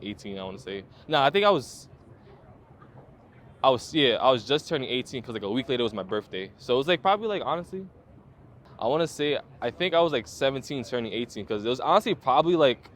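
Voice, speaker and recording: A young man in his twenties talks quickly and loosely, full of slang, fillers and casual swearing. His pitch sits in the middle of the range, and faint outdoor street noise runs underneath. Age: 20s. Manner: laid-back, loose slangy talk with frequent fillers and swearing. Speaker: photographer guest. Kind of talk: casual interview storytelling.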